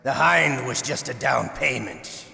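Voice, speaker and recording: A sadistic older torturer from a video game, sharp and forceful with a gravelly rasp. He draws his words out in menacing, theatrical taunts. The voice rings in a big echoing hall. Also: mid-range voice, animated, a little slow, heavily acted. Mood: fervent, proud. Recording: echoey hall with long reverb.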